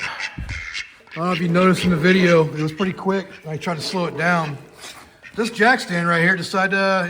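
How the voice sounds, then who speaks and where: Hoarse man talking through shop work. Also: fairly high pitch, a bit bright, slight background noise. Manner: rambling, easygoing, many fillers. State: hoarse, losing his voice after illness. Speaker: host of a home auto repair channel. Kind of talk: casual talk-through of a shop project.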